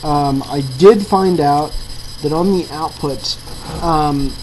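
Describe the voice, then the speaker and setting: A woman's voice: deep voice, slow pace. A woman giving a casual, improvised walkthrough of a hobby project, hesitant and thinking aloud. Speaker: hobbyist maker showing an electronics project. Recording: clearly noisy with steady mains hum.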